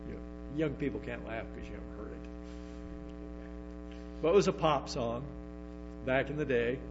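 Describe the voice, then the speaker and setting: A man's voice: medium pitch, moderate pace. A man preaching a sermon to a congregation, stumbling through restarts and tossing in emphatic asides. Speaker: pastor. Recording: dull lo-fi sermon recording with music and hum.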